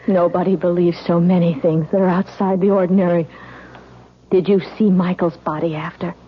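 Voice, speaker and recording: An actress playing an anxious young bride in an old radio drama, questioning with brooding intensity. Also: female voice, fairly low pitch, fairly even intonation, normal speed, a little hiss or hum, natural voice. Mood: troubled, probing. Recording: dull old radio broadcast.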